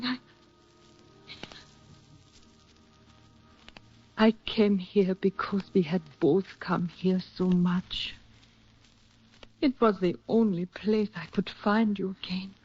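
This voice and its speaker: An actress playing a devoted, anxious woman in love in a 1940s radio drama, speaking with earnest, tender emotion. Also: fairly high pitch. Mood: anguished, tender. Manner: earnest, emotional, measured delivery. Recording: muffled, husky 1940s radio recording.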